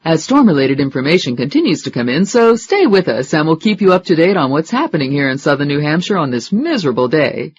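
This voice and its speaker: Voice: medium pitch, very animated, fast talker, hardly any pauses, breathy edge, highs cut off. A narrator voicing a chatty local radio announcer reading out a list of storm closings. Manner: chatty broadcast delivery.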